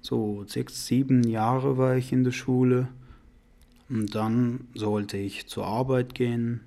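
A man in his twenties from Afghanistan with an Afghan accent, a non-native speaker telling his own story in halting, simple phrases as an interviewee. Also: mid-range voice, little pitch movement, creaky, warm tone. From Afghanistan and Iran. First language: not German. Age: 20s. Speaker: podcast interviewee. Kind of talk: conversational personal account.

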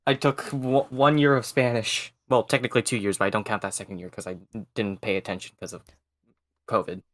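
A young man whose first language is Cantonese talks casually, often stopping to search for the right word. His voice sits fairly low but sounds bright and forward. The recording is dry and close. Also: little pitch movement, a little fast, no echo. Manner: casual, hesitant, searching for words. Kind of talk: casual chat.

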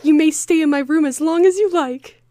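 A woman's voice: high-pitched, moderate pace, warm tone, dry recording, studio quality, lightly acted. A woman voicing a shy, polite young girl in a dramatic fan fiction reading.

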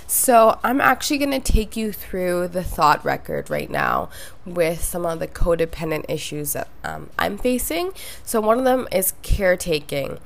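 A woman's voice: on the low side, huge pitch swings, normal speed, creaky. A young woman hosting a solo podcast, sounding nasal from a cold and talking in a reflective, conversational way. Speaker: podcast host.

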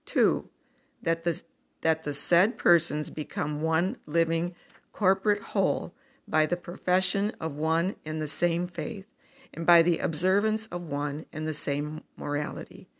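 Low, gravelly woman reading church teaching. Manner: measured, deliberate reading with numbered points. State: froggy morning voice. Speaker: religious teacher.

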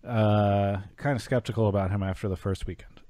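A man's voice: lowish voice, fairly even intonation, brisk, mellow, no echo. A man hosting an esports call-in show in a casual, chatty style.

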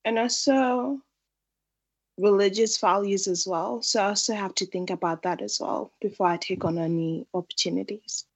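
A woman born in Zimbabwe and raised in Australia, answering interview questions in an earnest, measured way. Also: mid-range voice. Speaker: interview guest, entrepreneur.

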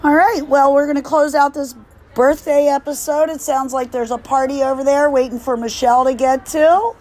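A woman in her fifties co-hosting a casual podcast, her voice a bit hoarse and raspy, chatting and laughing easily. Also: high voice, steady tone, moderate pace, darkish tone. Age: 50s. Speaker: podcast co-host. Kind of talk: casual chat.

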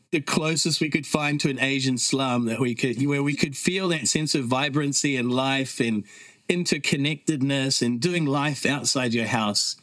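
Middle-aged New Zealand man, podcast guest, earnest storyteller, mid-pitched voice, dry recording. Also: fairly bright tone, no room sound. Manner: reflective, earnest, with frequent fillers. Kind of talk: casual conversational storytelling.

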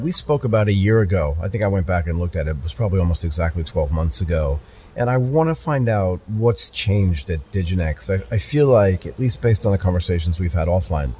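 Deep-voiced man hosting a podcast. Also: a little hiss or hum. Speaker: podcast host. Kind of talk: casual conversational interviewing.

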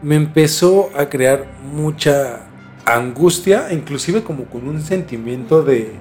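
A man's voice: on the high side, expressive, takes it slowly, a little mellow, faint music behind. A man hosting a mystery podcast in a conversational presenting style. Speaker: podcast host.

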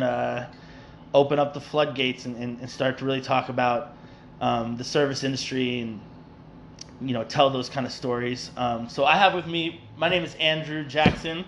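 A man's voice: medium pitch, slight background noise. A man from New York hosting a casual podcast, rambling with many fillers and restarts. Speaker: podcast host.

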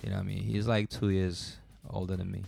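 A man's voice: low-pitched, level tone, fast, gravelly, dark tone, soft background music. A man from Japan, a guest rapper, telling stories in a laid-back, slangy way. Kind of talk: casual interview chat.

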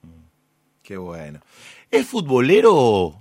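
Male host, Argentine accent, slow deliberate phrasing, conversational interview questions, dry studio-like closeness. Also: medium pitch, huge pitch swings, a little mellow, dry recording.

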